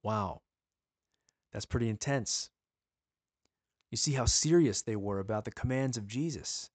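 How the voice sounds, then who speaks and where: A man giving an earnest sermon-style teaching, asking his listeners pleading rhetorical questions and slowing down to stress key words. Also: mid-range voice, breathy edge, no room sound.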